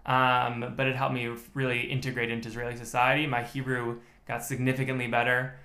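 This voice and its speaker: A man's voice: medium pitch, monotone, fairly quick, pushed and bright. A man, a podcast guest telling his story in a methodical way with many restarts. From United States. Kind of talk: casual interview chat. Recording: echoey room.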